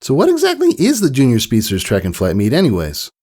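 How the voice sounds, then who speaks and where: A man's voice: medium pitch, wildly swooping pitch, quick pace, mellow, slightly rough, voice pushed up. A man voicing a sweet, mild young filly in a storybook reading, with a tentative manner. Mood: curious.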